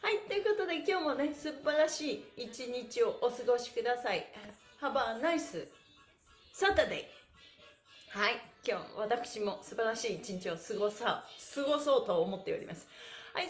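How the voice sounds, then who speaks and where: High-pitched, exuberant woman leading exercise. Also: very animated, brisk, soft background music. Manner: exuberant, cheerleading, chanting counts and slogans; laughs often while talking. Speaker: stretch instructor and pantomime teacher, host of a live stream. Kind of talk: upbeat exercise class on a live stream. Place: small indoor room with bare walls and wooden floor. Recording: echoey small room, some distance from mic.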